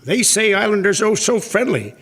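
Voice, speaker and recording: A man plays a talking beetle who feels unloved in a comic drama. The voice is high-pitched. It complains in a wry, put-upon way. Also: sing-song, moderate pace, fairly bright tone, breathy edge, exaggerated voice. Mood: aggrieved. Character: aggrieved talking beetle, an unwelcome pest.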